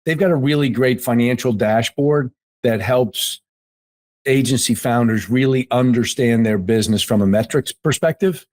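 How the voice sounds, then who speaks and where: Man hosting, mid-pitched voice. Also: darkish tone. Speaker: podcast host. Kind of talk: conversational interview.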